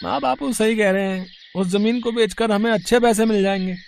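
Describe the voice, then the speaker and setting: Casting: male actor as idle young village son, whiny, very high pitch, animated tale, faint noise behind. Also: fairly quick, creaky. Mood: whining.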